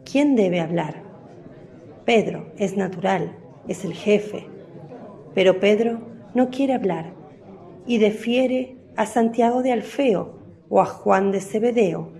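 A woman narrates a religious radio play with a slow, unhurried delivery. Her tone is soft and dark, with some gravel in it, over background music. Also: mid-range voice. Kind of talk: scripted radio drama narration of a religious story.

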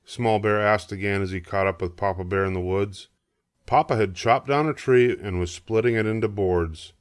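An older man narrating a children's storybook, reading aloud in a measured, expressive way. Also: on the low side, clear, resonant tone, no room sound, clean studio sound.

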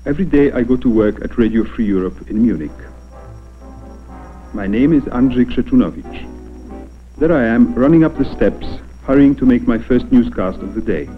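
A man's voice: mid-range voice, lively intonation, moderate pace, phone-quality sound, soft background music. A man from Poland reads scripted 1960s documentary narration as a newscaster, on an old film soundtrack. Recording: thin, band-limited old film soundtrack.

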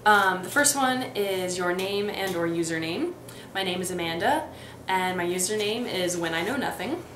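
A woman's voice: lowish voice, expressive, normal speed, a bit bright, roomy sound, a little hiss or hum. A young woman from Texas and Missouri with a light Midwestern accent, chatting casually to a webcam in her bedroom, full of ums and restarts. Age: young adult. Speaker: vlogger. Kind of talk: casual accent tag vlog. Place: bedroom, indoors.

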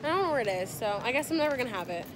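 A woman's voice: a little high, animated, very fast, noisy background. Chatty young woman vlogging on her phone. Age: young adult. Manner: chatty, rambling with many "like"s. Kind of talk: casual vlog chat.

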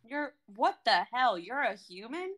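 A woman's voice: fairly high pitch, big pitch swings, unhurried, a bit bright, exaggerated voice. A woman in her twenties playing a bewildered, anxious pet owner, incredulous and questioning, in a dramatic script reading. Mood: alarmed. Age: 20s. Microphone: microphone on a video call.

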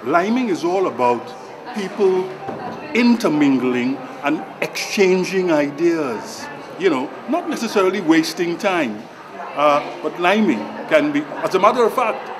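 An older man with a Trinidadian accent, talking reflectively and emphatically in an interview, repeating phrases for weight. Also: on the high side. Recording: crowd chatter behind.

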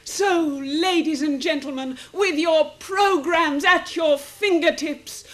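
Fired-up lady teacher in a radio play, high voice, rousing speech style. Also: slow, fairly bright tone. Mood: triumphant. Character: zealous, triumphant female teacher championing new technology. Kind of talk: radio drama acting.

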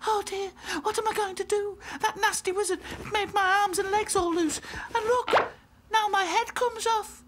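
A woman voicing a tearful rag doll who wails in a high voice. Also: steady tone, moderate pace, noisy background. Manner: tearful and wailing. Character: sobbing floppy girl rag doll.

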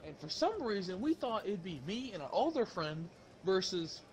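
Young male gamer, fairly high voice, animated slangy storyteller, crowd noise behind. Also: normal speed, poor audio. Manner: animated, slangy, acts out dialogue. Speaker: gaming video host telling stories. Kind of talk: casual storytelling among friends during online game.

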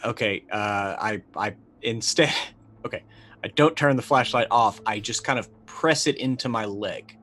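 A middle-aged man playing a tabletop roleplaying game, casually and haltingly describing what his character does, with music behind him. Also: fairly low pitch, a bit bright. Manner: halting, thinking aloud. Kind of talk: casual chat, narrating his character's actions. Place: indoor room, video call. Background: background music.

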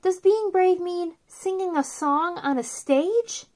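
A woman in her thirties speaking to young children as a story time host, with an expressive, simple delivery. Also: very high-pitched, slow pace. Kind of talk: children's read-aloud and chat to young viewers.

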